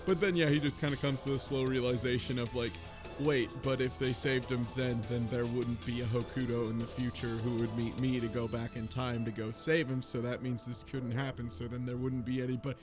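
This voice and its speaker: A man's voice: medium pitch, a little fast. A man co-hosting a podcast, casually recapping a game with swearing and acted-out quotes. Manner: sweary, animated mock-quoting. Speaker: podcast co-host. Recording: music faintly behind the talk.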